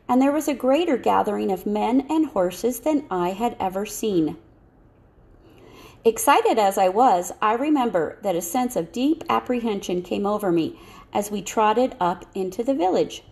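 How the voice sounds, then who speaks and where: Woman reading a story, gravelly creak. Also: mid-range voice, darkish tone. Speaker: audiobook reader. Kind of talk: scripted narration of a children's novel.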